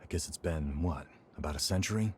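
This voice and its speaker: Deep-voiced, world-weary game warrior. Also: male voice, a little fast, a little mellow, slight background noise. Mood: earnest. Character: world-weary, loyal male revenant warrior searching for lost friend. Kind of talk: video game voice acting.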